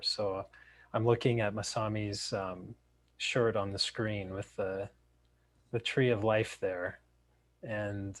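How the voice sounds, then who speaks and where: A man with a slightly raspy voice, teaching in a halting, reflective way. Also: lowish voice. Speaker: session co-host, meditation teacher.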